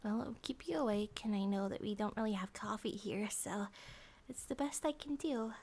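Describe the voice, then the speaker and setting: A woman voicing a gentle, affectionate monster-girl bear wife in a soft ASMR comfort roleplay, often dropping to a whisper. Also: medium pitch, moderate pace, close to an everyday voice. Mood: casual, gentle.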